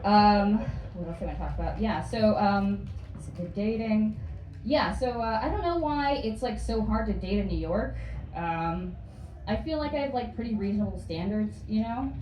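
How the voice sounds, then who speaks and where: A young woman from Florida doing a hesitant, deadpan stand-up set, full of ums and likes. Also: medium pitch, normal speed, lo-fi sound. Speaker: stand-up comedian. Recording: distant, echoey club with noise.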